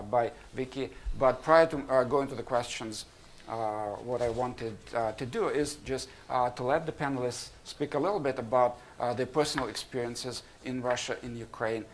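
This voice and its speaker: A man from Kazakhstan, a marketing professor, moderating a conference panel in a halting way with many fillers. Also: mid-range voice, a little hiss or hum. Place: conference room.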